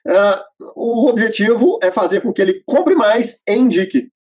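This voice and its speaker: A man's voice: sits high, expressive, normal speed, darkish tone, breathy edge. A man presenting a step-by-step method to accountants in an explanatory, instructional way, with frequent fillers and restarts. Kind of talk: instructional conversation. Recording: muffled lo-fi audio.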